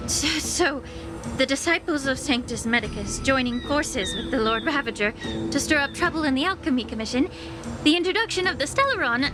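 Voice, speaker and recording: Poised, shrewd lady official voiced by a woman, highish. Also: natural voice. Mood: pointed. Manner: polite, formal, composed. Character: poised, courteous, shrewd newly appointed female healer official. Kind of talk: scripted video game dialogue. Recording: game audio with music behind.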